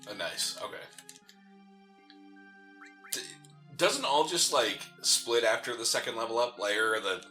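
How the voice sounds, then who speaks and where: A man's voice: mid-range voice, expressive, measured pace, pauses often, bright, forceful tone, soft background music. A man co-hosting a gaming show, chatting casually and reacting as the game is played. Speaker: gaming podcast co-host. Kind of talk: casual banter while playing a video game.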